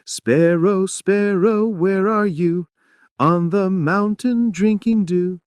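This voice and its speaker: A man takes on a sad, pleading young wife in a stage play. The delivery is theatrical and plaintive, with highly animated pitch and an unhurried pace. The sound is very dry. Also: no echo. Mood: lonely, wistful. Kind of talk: dramatic reading of a stage play.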